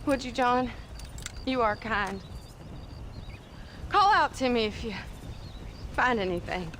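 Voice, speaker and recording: High, bright, feisty American ranch woman. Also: animated, takes it slowly, slight background noise. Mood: anxious. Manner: sarcastic, haughty. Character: feisty, sharp-tongued rancher's daughter in brittle denial. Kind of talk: video game western acting.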